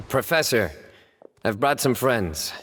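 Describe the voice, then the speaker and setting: A man voicing a composed young investigator in a video game, with a deadpan, formal, measured manner and dry humour. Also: mid-range voice, slight background noise.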